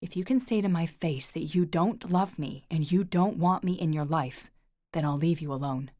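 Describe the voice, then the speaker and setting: A woman's voice: lowish voice, normal speed, a little mellow, no echo, studio quality, lightly acted. A woman reading an intense, protective young man. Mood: pleading. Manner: pleading, emphatic.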